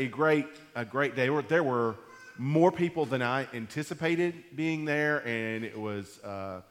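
A man preaching to his church in a folksy, rambling way, his voice gone deep and congested from allergies. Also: a bit bright, big-room sound. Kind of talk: sermon. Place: church sanctuary.

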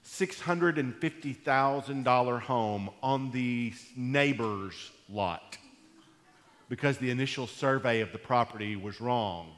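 A man preaching to his church in a folksy, rambling way, his voice gone deep and congested from allergies. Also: fairly bright tone, long reverb tail. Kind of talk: sermon. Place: church sanctuary.

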